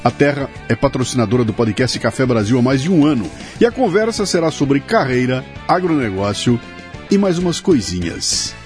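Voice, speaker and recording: A man's voice: medium pitch, big pitch swings, moderate pace. Brazilian man hosting a podcast, music behind. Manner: informal, colloquial. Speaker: podcast host. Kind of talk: scripted sponsor reads and conversational interviewing.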